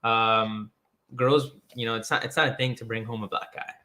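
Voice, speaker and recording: A man from Colombia speaks in a casual discussion, rambling and restarting, with "like" in nearly every sentence. His tone is bright and forward, with an edge of tension. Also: mid-range voice, steady tone, moderate pace. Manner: loose, rambling, full of "like" and restarts. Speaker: guest.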